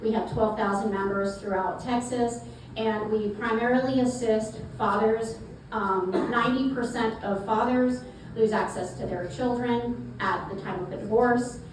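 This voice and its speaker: A woman's voice: a little high, steady tone, unhurried. Chatty South Carolina woman in an echoey room. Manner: folksy, chatty, repeats words. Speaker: guest speaker. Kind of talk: networking talk to a live audience. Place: event space. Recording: echoey room from far off, noisy.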